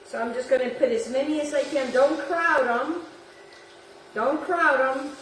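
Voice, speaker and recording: Chatty high-voiced woman cooking in an echoey kitchen. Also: unhurried, a bit bright, far from the mic, a little hiss or hum. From Italy. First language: Italian. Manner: chatty, repeats herself, talks to the viewer and her dog. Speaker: home cooking host. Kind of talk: casual cooking demonstration. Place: home kitchen.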